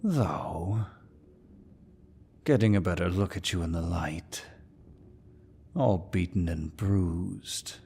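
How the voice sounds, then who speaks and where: A man playing a menacing demon lord in an ASMR fantasy roleplay, with a deep, gravelly voice and a dominant, smug, taunting manner. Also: mellow, full character voice. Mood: intrigued, menacing. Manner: dominant, smug, slow and deliberate.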